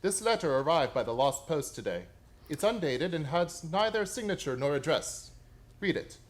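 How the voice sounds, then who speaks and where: Shrewd male detective, lively melodic delivery, brisk, theatrical, superior yet courteous, radio play. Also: on the high side. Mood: keen, instructive.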